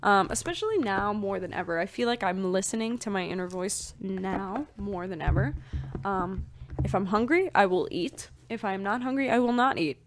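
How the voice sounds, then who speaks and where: A woman's voice: medium pitch, lively intonation, moderate pace, a little hiss or hum. A woman in her twenties hosting a casual spiritual podcast, chatty and rambling with many fillers. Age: 20s. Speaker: podcast host.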